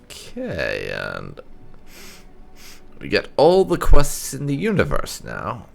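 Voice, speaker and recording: Low, gravelly man with very animated pitch. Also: slow. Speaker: gaming video host. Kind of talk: casual gameplay commentary with light roleplay.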